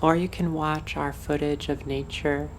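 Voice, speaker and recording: A woman narrating a guided meditation in a soothing, deliberate, instructive way, as the guide. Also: low-pitched, little pitch movement, slight background noise, poor audio.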